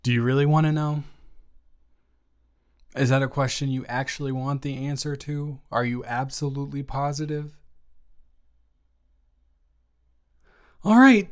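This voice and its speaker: A man in an improvised audio roleplay, playing a young male best friend who talks straight to the listener in a gentle, reassuring way and is blunt but kind. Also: a little high. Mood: teasing, tender.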